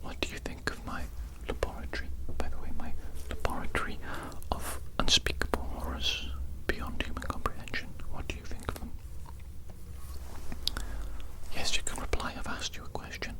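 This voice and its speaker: A performer voicing a smug, pompous evil mad doctor in a breathy, deliberate whisper for an ASMR roleplay. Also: natural voice, low-quality recording. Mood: smug, boastful. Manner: deliberate, repetitive, pompous villain musing. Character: smug evil mad doctor, condescending yet softly patient.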